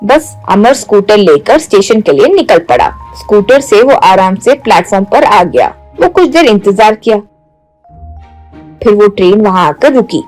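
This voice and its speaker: A woman's voice: mid-range voice, very animated, normal speed, darkish tone, low-quality recording. A woman reading scripted story narration. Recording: music bed under the voice.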